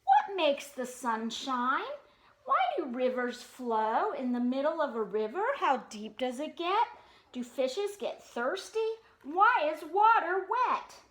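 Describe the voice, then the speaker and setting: A woman's voice: sits high, a bit bright, reverberant, heavily acted. A woman voicing a curious, eager young boy bear cub who questions hesitantly in a storybook reading.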